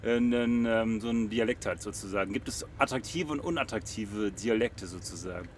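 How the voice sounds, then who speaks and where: A man's voice: fairly low pitch, a little fast. A man chatting casually as a passenger in a van, explaining things in a wry, rambling way. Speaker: event caster riding as guest. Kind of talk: casual chat. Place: inside a van driving through a city. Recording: noisy moving vehicle, road noise.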